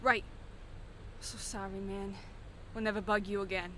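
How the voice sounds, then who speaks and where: A terrified young male thug whimpers in a very high, strained pitch in an audio drama. He stammers and pleads, cowed, with faint rumbling noise behind him. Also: little pitch movement, measured pace, sharp tone. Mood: cowed, apologetic.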